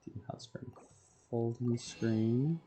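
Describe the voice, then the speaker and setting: A man's voice: medium pitch, takes it slowly, dark tone, soft background music. A man streaming a video game, thinking aloud in short murmured fragments as casual solo commentary. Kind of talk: casual solo gameplay commentary, muttering to himself.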